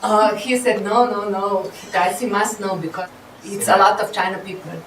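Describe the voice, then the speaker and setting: A woman's voice: medium pitch, steady tone, moderate pace, fairly bright tone. A woman interpreting live, relaying a speaker's words in short phrases. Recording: echoey room, faint background noise.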